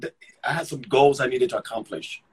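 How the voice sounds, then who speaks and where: A middle-aged man from Ghana speaks in a husky, slightly breathy voice at an everyday pitch. He is an actor reflecting on his life in a casual interview. He thinks out loud, doubling back and repeating words before he lands a point. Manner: halting, restarts and repeats words while thinking aloud. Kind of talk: casual conversational storytelling.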